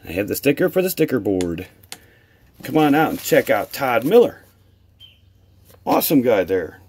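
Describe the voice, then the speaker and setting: A man with an American accent talks casually to his viewers as a hobby vlogger. His voice is husky and mid-pitched, with lots of rise and fall. He comes across as enthusiastic and appreciative. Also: sing-song, moderate pace, a little mellow. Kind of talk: casual chat to camera while opening mail.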